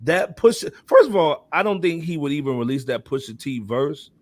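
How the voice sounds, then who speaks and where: A man's voice: a little high, animated, normal speed, no room sound. Slangy American man hosting, dry close sound. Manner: slangy, often punctuates phrases with "man". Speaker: host of a hip hop talk show. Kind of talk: casual panel chat about hip hop.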